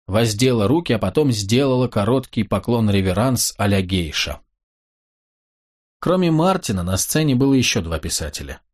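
A man narrating a novel as an audiobook in a steady, measured, wry reading. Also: mid-range voice.

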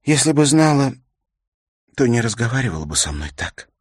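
Male narrator as older widow, grief-stricken, tearful, bitter, pleading, lifted pitch, audiobook drama, dry sound. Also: sing-song, moderate pace, husky, dry recording. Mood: anguished outburst.

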